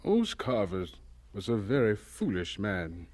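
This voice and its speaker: An actor playing a dignified, wry old American scientist who reminisces in a deliberate, folksy way in a radio drama. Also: male voice, fairly low pitch, sing-song. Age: older.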